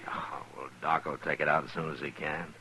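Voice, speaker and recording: An actor playing a grave, loyal US marshal in an old-time radio western, speaking in a terse, measured way. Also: male voice, rumbling low, little pitch movement, sharp tone. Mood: somber, gentle. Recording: noisy vintage radio recording.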